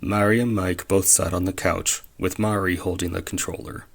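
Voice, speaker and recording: A man acting as a calm storyteller, reading scripted third-person narration for an audio drama. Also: sits low, normal speed, creaky.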